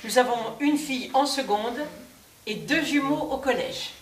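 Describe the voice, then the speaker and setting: A woman performer giving a measured theatrical recitation of testimonies on a stage. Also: high-pitched. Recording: echoey stage, distant mic, faint noise.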